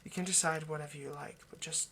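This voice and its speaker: A man's voice: fairly high pitch, steady tone, fast talker, a little hiss or hum. A man playing an earnest, lovestruck vampire in an intimate roleplay monologue, speaking hesitantly and persuasively. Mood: tender, grave. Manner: hesitant, stammering, gently persuasive. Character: earnest, lovestruck male vampire coaxing a partner.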